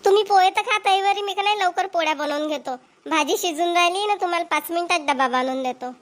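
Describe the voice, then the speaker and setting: Female actor, argumentative young wife, cartoon, whiny, defiant, Vidarbha Marathi accent, extremely high-pitched. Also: fast talker, a bit bright. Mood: defensive. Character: spoiled, argumentative young wife, fond of shopping. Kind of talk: amateur cartoon voice acting.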